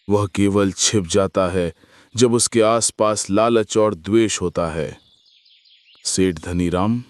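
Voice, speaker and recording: A man playing a wise old traveller who solemnly admonishes in an animated moral story. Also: fairly low pitch, dry recording. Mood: stern, solemn.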